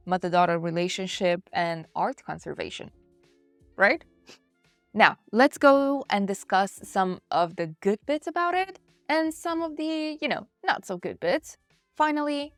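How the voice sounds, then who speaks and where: A woman's voice: medium pitch, clear, resonant tone, dry recording. A woman hosting a book review in a chatty, conversational way, speaking directly to her viewers. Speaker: book reviewer and channel host.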